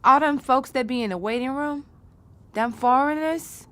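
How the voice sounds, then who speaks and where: An actress in her twenties playing an eager, naive American niece new to a front-desk job, in a naturalistic audio drama, earnest and forceful. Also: high voice, normal speed. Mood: questioning, earnest. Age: 20s.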